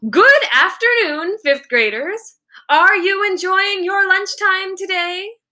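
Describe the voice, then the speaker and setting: A woman's voice: extremely high pitch, slow pace, bright, forceful tone, pitched above the performer's own voice, exaggerated voice. A woman voicing a controlling school principal in a storybook, addressing pupils formally with forced cheer. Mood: loud forced cheer.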